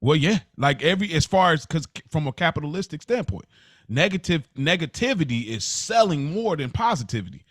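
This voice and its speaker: A man's voice: on the high side, very animated, fairly quick, fairly bright tone, no echo, clean studio sound. A man co-hosting a podcast in casual, reflective talk, earnest and emphatic, with colloquial phrasing. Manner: earnest, emphatic, colloquial, often says "bro". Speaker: podcast co-host.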